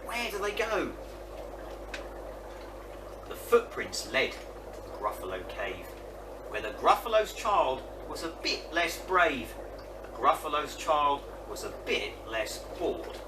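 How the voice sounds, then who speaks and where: A man narrating a children's picture book in a dramatic, expressive way. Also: a little high, a little slow, reverberant. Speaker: story reader. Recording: distant mic with clear noise.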